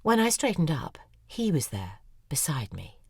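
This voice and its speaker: Dry sardonic lady novelist narrating, low voice, swoops around a lot. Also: wildly swooping pitch, unhurried, vocal fry, slightly rough, dry recording, heavily acted. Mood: wry. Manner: sardonic, weary. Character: acerbic, weary female novelist. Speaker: narrator and lead character, a novelist. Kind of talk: scripted first-person audio drama narration.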